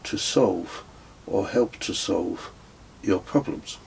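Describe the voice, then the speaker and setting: A man voicing an earnest, tender male astrologer in a storybook, speaking gravely and deliberately. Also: low-pitched, expressive, a little mellow, slight background noise, full character voice, rough recording.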